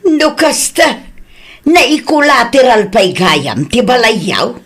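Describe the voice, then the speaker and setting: A woman's voice: fairly high pitch, animated, slow pace, full character voice. Older Ilocano wife and aunt , played by a woman, concerned, conversational audio drama delivery.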